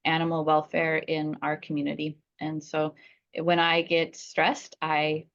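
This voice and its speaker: A woman with a notably deep voice and a slight Upper Midwest accent introduces herself over a video call. Her talk is plain and self-deprecating. The call audio sounds dull and muffled. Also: completely flat delivery, a little slow. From North Dakota. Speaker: product executive.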